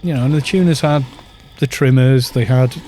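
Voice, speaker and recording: A man's voice: mid-range voice, normal speed, warm tone, a little hiss or hum. A British man in his fifties chatting in a rambling way while streaming a game and reading out viewer chat. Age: 50s. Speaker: gaming streamer.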